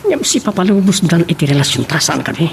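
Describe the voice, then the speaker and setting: A man's voice: on the high side, big pitch swings, fast, creaky, slight background noise. A young man playing a devoted, earnest sweetheart in an Ilocano radio drama. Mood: tender.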